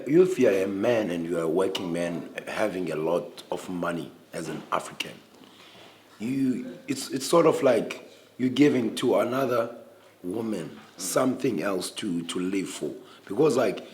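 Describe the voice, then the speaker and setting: A young man with a lowish voice speaks earnestly, stopping and restarting his sentences as he argues his point. He is a native Xhosa speaker. A faint hiss of noise sits under his voice. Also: animated, darkish tone. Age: 20s. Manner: earnest, halting with many restarts. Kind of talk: casual panel discussion.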